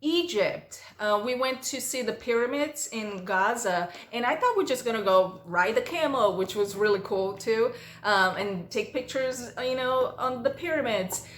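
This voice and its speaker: A woman's voice: a little high, animated, measured pace, fairly bright tone, echoey room. A woman whose first language is Portuguese, reading questions aloud and answering them in a chatty, storytelling way for her vlog. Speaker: vlogger. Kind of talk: casual Q&A vlog.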